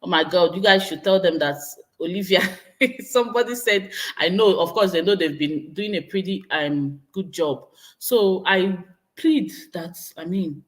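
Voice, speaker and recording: A woman's voice: fairly low pitch, a little slow. A woman in her thirties from Nigeria with a Nigerian accent, chatting to her viewers and reading their comments, laughing often and repeating her exclamations. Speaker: vlogger.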